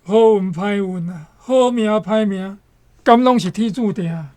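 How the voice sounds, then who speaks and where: A woman's voice: medium pitch, unhurried, darkish tone. A woman with a Taiwanese accent giving reflective commentary on a book.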